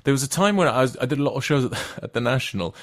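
A middle-aged actor, a man raised speaking Turkish, chatting as a guest, with halting speech full of restarts and fillers. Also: mid-range voice, lively intonation. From Turkey. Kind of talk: casual conversation.

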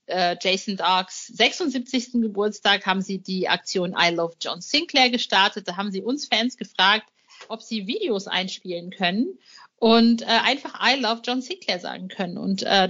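A woman's voice: medium pitch, pushed and bright, highs cut off. A woman hosting an interview podcast, talking in an informal and effusive way.

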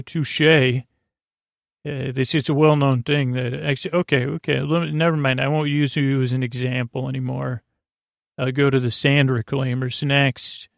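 A man hosting a bedtime podcast in a rambling monologue, halting and restarting his sentences, self-deprecating and absent-minded, with a creaky, gravelly voice. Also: medium pitch, dry recording, clean studio sound. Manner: meandering, halting, self-interrupting, stammering restarts. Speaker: podcast host.

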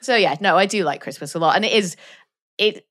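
A woman's voice: on the low side, fairly quick, fairly bright tone. A woman from Wales, co-hosting a podcast in chatty, self-mocking talk and laughing often. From North Wales. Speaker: podcast co-host. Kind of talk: casual podcast chat.

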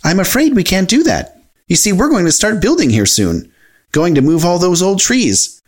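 A man puts on a cheerful little cartoon mouse from a children's storybook. The voice is high and lively, polite and eager to reassure. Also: moderate pace.

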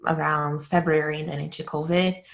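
Casting: female interview guest from Brazil, 30s, native Portuguese, low register, candid conversational storyteller. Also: flat delivery, normal speed, vocal fry. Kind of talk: casual personal storytelling.

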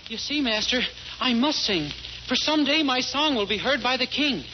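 A man's voice: very high voice, lively intonation, moderate pace, a little hiss or hum, voice pushed up. A man voicing an earnest, timid poor boy. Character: poor, hopeful young boy singer. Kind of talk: 1940s radio acting. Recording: dull 1940s radio broadcast.

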